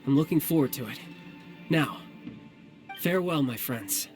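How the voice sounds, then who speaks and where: Suave young warrior, fairly high-pitched. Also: male voice, lively intonation, a little slow, soft background music. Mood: friendly, confident. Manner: affable, smooth-talking. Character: suave, affable young male warrior, doting big brother. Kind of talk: video game voice acting.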